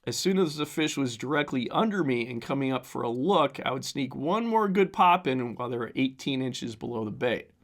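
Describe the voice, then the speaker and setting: Hoarse man hosting a fishing podcast. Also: mid-range voice, animated, brisk, few pauses. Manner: rambling with fillers, reading aloud from a newsletter. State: hoarse, strained voice. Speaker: podcast host. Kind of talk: casual solo podcast commentary.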